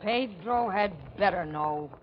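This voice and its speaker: An actress playing a ruthless, greedy femme fatale in a 1940s radio thriller, bossy, sardonic and hard-boiled. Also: female voice, medium pitch, slow. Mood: commanding, threatening. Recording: muffled, very noisy 1940s radio recording.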